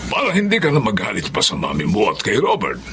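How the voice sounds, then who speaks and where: A man's voice: fairly low pitch, wildly swooping pitch, fast. A man playing an old grandfather, earnest and pleading, in Filipino radio drama style. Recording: loud dramatic music behind the voice.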